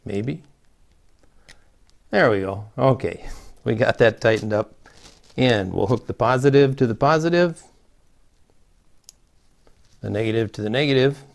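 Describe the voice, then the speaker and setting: Middle aged guy, gravelly fry in his voice, casual folksy how-to talk while he works. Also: medium pitch, measured pace, long pauses. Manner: folksy, plain-spoken, talking through each step as he works. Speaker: host of a CB radio repair channel. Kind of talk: casual hands-on repair tutorial. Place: small plain indoor room, seated at a table.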